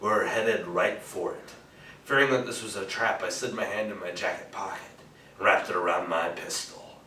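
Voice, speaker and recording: Man acting a low-voiced hard-boiled radio detective. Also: normal speed, sharp tone. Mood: cool, wry. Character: cool hard-boiled male private detective narrating a radio mystery. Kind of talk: 1940s radio noir narration on stage. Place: theatre stage, recorded from a distance. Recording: echoey stage, distant mic, faint noise.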